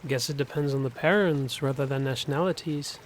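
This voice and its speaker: A man's voice: mid-range voice, fairly quick, close to an everyday voice. A young man acting a friendly, casual pool worker in an audio drama, with water behind him. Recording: faint noise, pool water ambience.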